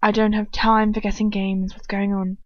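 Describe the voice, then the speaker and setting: A woman's voice: medium pitch, level tone, moderate pace, no room sound, dull audio, natural voice. A woman voicing a malicious, aristocratic male villain with a contemptuous drawl while reading a story aloud. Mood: irritated.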